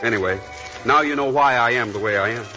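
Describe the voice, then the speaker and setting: A man's voice: medium pitch, fast talker, pushed and bright, natural voice, low-quality recording. An actor playing a restless, self-mocking young man with a glib, teasing way of talking, in a 1940s radio drama. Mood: playful, earnest. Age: young adult. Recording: vintage 1940s radio broadcast, music behind.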